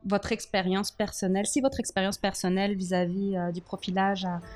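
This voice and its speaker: A woman's voice: mid-range voice, fairly even intonation, a little fast, darkish tone. Woman, podcast host, polite, hesitant, formal interviewing, faint music underneath. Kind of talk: formal interview with prepared questions.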